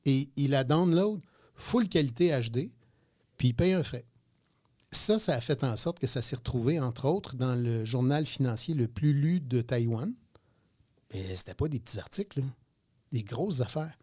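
A man's voice: medium pitch, normal speed. Quebec entrepreneur, 50s, male, chatty storyteller, stumbles and repeats words on air. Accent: Quebec French. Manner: animated, repeats words, many hesitations. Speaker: guest entrepreneur. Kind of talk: radio interview, casual storytelling.

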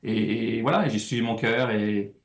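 French comedian with a slight Québécois lean. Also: male voice, fairly low pitch, normal speed. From France. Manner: animated, repeats words while thinking. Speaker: comedian guest. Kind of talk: casual interview chat.

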